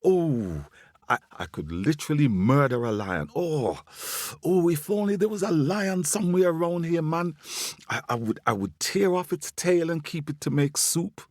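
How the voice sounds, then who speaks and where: A man's voice: a little high, expressive, moderate pace, darkish tone, no echo, studio quality, voice pushed up. A man voicing a tiny, bold male squirrel in a folktale, full of theatrical bravado. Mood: boastful craving. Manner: theatrical bravado, exclaiming and pleading.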